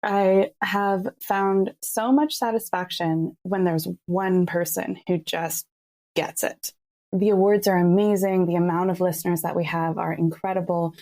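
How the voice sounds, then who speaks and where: A woman's voice: on the low side, steady tone, unhurried, darkish tone. A woman giving earnest, thoughtful reflections as an artist in a conversational interview. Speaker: artist and podcast creator.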